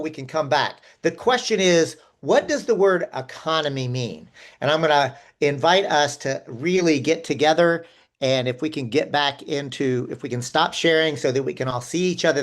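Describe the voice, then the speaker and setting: A man's voice: on the high side, moderate pace. A man leading a participatory online workshop over a video call, inviting people in and thinking aloud with fillers. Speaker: workshop facilitator.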